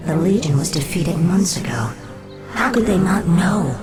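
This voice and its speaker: An actress playing a sharp, scornful undead plotter in a fantasy video game, dramatic in delivery. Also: female voice, lowish voice, lively intonation, unhurried. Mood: incredulous. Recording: loud game music behind.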